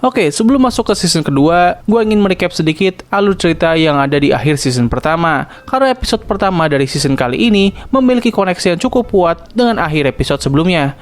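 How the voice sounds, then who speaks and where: A man's voice: on the high side, expressive, fast, soft background music. A young man hosting a cartoon review channel, telling the plot in a casual, slangy scripted narration with English fillers.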